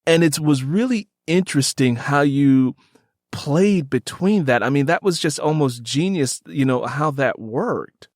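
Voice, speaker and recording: A man's voice: a little high, lively intonation, unhurried, dry recording, studio quality. A man hosting a casual interview, speaking enthusiastically and repeating phrases for emphasis. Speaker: podcast host interviewing an author.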